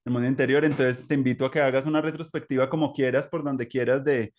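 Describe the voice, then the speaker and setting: A man's voice: mid-range voice, fairly even intonation, quick pace, darkish tone. Colombian man hosting, meandering and conversational. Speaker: interview host. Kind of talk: conversational interview, reflective.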